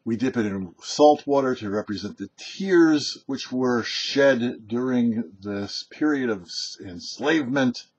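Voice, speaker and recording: A man who is a rabbi reads a prepared religious lesson in a measured, explanatory way with emphatic pauses. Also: mid-range voice, a little mellow, slightly rough, lo-fi sound. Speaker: rabbi hosting a weekly Torah teaching show. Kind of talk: prepared religious teaching, read aloud.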